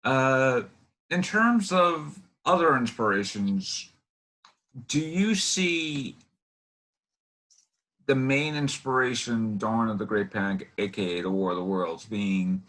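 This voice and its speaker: A man hosting an informal interview, asking rambling questions in a halting way as he searches for words. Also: mid-range voice, expressive, a bit bright, poor audio. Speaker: interview host.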